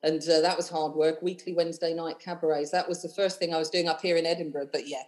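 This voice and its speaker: A woman's voice: low voice, level tone, fast, a little mellow. A woman in her thirties with a London accent, chatting effusively as an interview guest, rambling with many fillers. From London, England. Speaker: cabaret host and singer, guest. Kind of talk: casual interview chat.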